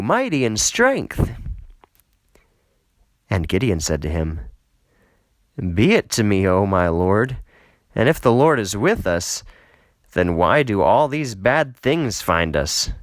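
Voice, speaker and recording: A man reading scripture aloud as an audiobook narrator, measured and solemn, lifting into emphasis for quoted speech. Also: fairly low pitch, no echo. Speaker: audiobook reader.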